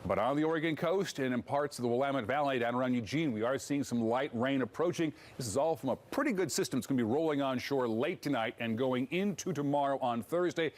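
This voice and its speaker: A man's voice: mid-range voice, quick pace, few pauses. A man with an American accent presenting a live weather report as a forecaster.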